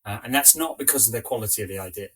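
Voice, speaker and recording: A man talking as a guest on a podcast, chatting in a relaxed way with frequent fillers. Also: on the low side, racing, husky. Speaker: podcast guest, business owner. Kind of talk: casual interview chat.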